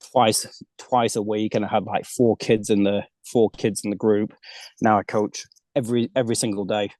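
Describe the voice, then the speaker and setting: A man's voice: on the low side, darkish tone, dry recording. A man from the UK, a golf coach living abroad, chatting as an interview guest and often restarting his sentences. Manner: chatty, many restarts and repeated words. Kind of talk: casual interview chat.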